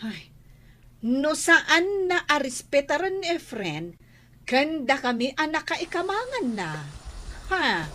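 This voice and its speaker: High, plaintive young Ilocano wife. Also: female voice, big pitch swings, slow pace, a bit bright, full character voice. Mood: aggrieved. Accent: Ilocano Filipino. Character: aggrieved young wife complaining about her husband. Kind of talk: Ilocano radio comedy drama acting.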